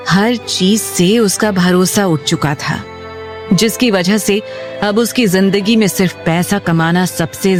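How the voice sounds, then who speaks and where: A female storyteller delivers scripted audio drama narration. Her voice is mid-pitched, with soft music running underneath.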